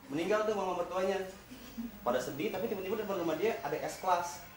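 A man's voice: sits high, animated, quick pace, a little hiss or hum. A young man doing a stand-up comedy routine in an office, telling stories and slipping into character voices. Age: young adult. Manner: storytelling with acted-out character voices. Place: large open-plan office, indoors. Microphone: far camera. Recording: roomy office sound from far off. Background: office ambience and colleagues.

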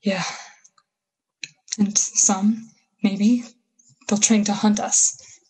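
A woman playing a bitter, scheming survivor soldier in a radio play, talking in slangy, halting, emphatic phrases. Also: mid-range voice, level tone, mellow, slightly rough, heavily acted, low-quality recording. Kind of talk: 1930s-style radio play acting.

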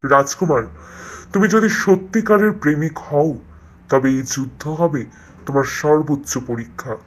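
Man playing a solemn, measured wise sage. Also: fairly high pitch, lightly acted. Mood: grave. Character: solemn wise male sage testing a prince. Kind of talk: cartoon fairy tale voice acting.

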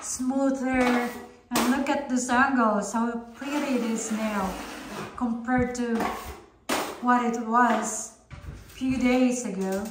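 A woman walking through a room being renovated, chatting in a halting way and cheering on her husband's work, filming on her phone. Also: on the high side. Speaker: vlogger showing home renovation. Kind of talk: casual home renovation walkthrough. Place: bare room under renovation, indoors. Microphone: phone held while filming. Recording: echoey empty room with background noise.

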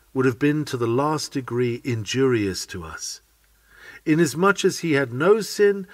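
A man's voice: on the high side, no room sound. A man reading a sermon aloud in an earnest, oratorical manner. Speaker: sermon reader.

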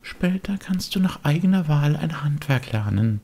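A man voicing a gentle, motherly fairy, kind but admonishing, in a measured audiobook character voice. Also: fairly high pitch, expressive, gravelly, breathy edge, voice pushed up, exaggerated voice.

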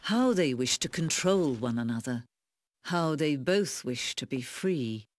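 Slow, solemn, all-seeing female narrator. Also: rumbling low, lively intonation, dry recording, studio quality, exaggerated voice. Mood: wistful, knowing. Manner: measured, deliberate, with weighty pauses. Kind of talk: scripted dramatic game narration.

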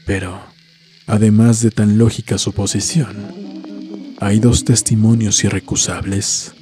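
Low, mellow male horror narrator. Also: level tone, measured pace. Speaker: host and narrator of a story series. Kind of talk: scripted dramatic narration of a horror story. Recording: music behind the voice. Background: music.

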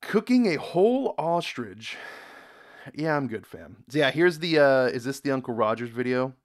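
A man's voice: medium pitch, very animated, normal speed. A young man from the United States hosting a casual reaction video, rambling, repeating words and reading comments aloud from a dim room.

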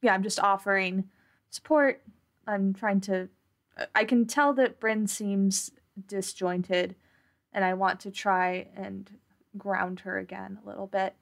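A woman's voice: mid-range voice, fairly even intonation, slow pace, gravelly. A woman playing a tabletop roleplaying game, tentatively narrating and speaking for her character in a casual way.

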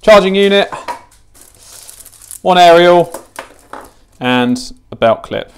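A man's voice: sits high, expressive, takes it slowly, smooth. Casual young British man presenting. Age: 20s. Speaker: fishing channel host demonstrating gear. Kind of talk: casual product unboxing and tutorial. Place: home kitchen, indoors.

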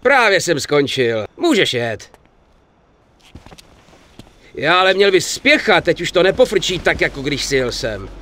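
A man's voice: a little high, very animated, long pauses, bright, forceful tone. A man playing a chipper, obliging garage mechanic in a video game, chatty and friendly. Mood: friendly, easygoing. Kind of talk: dubbed video game cutscene acting.

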